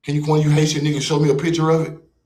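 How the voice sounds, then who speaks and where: A man's voice: fairly high pitch, completely flat delivery, very fast, darkish tone. A man from the United States hosting a livestream, ranting in a mocking, confrontational and profane way. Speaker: livestream host. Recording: roomy echo.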